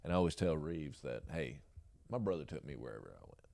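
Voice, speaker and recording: A middle-aged man with a deep, booming voice and a Southern Georgia accent, rambling through stories with chuckles and fillers as a podcast guest. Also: lively intonation, fairly quick, creaky, darkish tone. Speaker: podcast guest, jiu jitsu coach. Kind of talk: casual interview storytelling.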